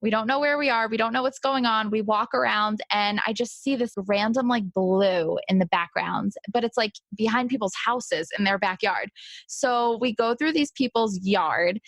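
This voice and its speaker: A woman's voice: mid-range voice, moderate pace, dry recording. A woman hosting a podcast interview, chatting casually in an enthusiastic, agreeable way.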